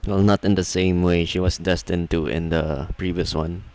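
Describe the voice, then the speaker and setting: A man with a low voice gives casual video game commentary, muttering his thoughts as he plays. Faint music runs behind him. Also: fairly even intonation, moderate pace, a little mellow. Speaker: game livestreamer. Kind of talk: casual playthrough commentary, thinking aloud.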